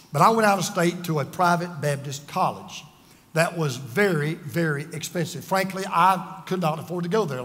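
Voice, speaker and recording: Man, over fifty, pastor, high voice, emphatic, self-deprecating, long hall reverb. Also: fairly bright tone. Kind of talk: sermon with personal stories.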